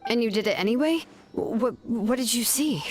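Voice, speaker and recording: Earnest, higher-pitched fantasy heroine played by a woman. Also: expressive, normal speed, soft background music, close to an everyday voice. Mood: shaken. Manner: earnest, emotional. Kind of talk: scripted video game voice acting.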